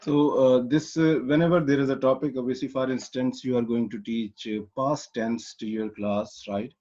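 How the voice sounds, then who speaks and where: A man's voice: medium pitch, steady tone, moderate pace, warm tone, muffled recording. A man from Pakistan teaching an English lesson online, explaining step by step with many fillers and restarts. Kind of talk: online lecture.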